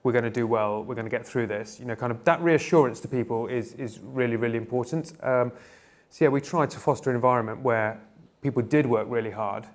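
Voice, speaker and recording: A company founder, a man, answering panel questions in a relaxed, conversational way, full of fillers and restarts. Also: medium pitch, brisk. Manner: relaxed, filler-heavy, repeats "kind of". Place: conference stage.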